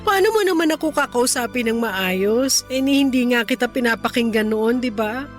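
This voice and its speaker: Woman playing a high-voiced, remorseful Filipino mother. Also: expressive, moderate pace, lightly acted. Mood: tender, grateful. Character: remorseful, affectionate mother, middle-aged, making peace with her child. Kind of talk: emotional radio drama dialogue. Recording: music bed under the dialogue.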